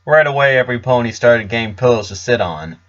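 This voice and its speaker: A man's voice: mid-range voice, lively intonation, fast talker, fairly bright tone. A man narrating a scripted story in a storybook reading style. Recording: slightly dull, lo-fi recording.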